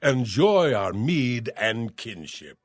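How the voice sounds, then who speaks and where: A man voicing a gruff, proud warrior smith in a fantasy game, curt and swaggering. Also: mid-range voice, big pitch swings, slow pace, dry recording, soft background music.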